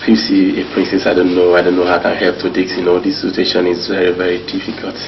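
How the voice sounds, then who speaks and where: A man playing a deep, flat-voiced confidant. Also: fast talker, dull audio, background noise, close to an everyday voice. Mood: sympathetic.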